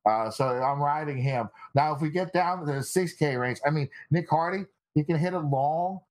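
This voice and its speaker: A young man born in South Korea, a guest on a podcast, chatting casually with a relaxed, filler-heavy way of talking. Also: a little high, normal speed, low-quality recording. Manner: relaxed, laid-back banter with frequent fillers. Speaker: guest analyst.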